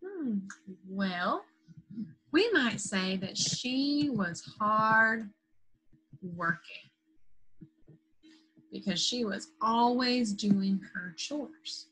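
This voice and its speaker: A woman teacher leading an interactive lesson for young children after a read-aloud, in simple, patient phrases with questions and drawn-out key words. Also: a little high, animated, a bit bright, slightly rough, low-quality recording. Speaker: primary school teacher.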